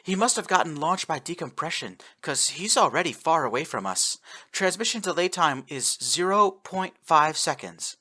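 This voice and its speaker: A man voicing a young ensign reporting sensor readings quickly and excitedly, his voice pushed up high. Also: husky, heavily acted.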